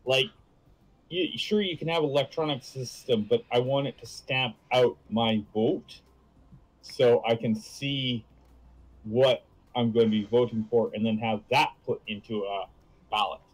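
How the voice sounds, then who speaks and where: A man with a mid-pitched voice chats casually as a livestream co-host. He sounds agreeable and hesitant, feeling his way through halting phrases. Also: steady tone, poor audio. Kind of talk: casual chat.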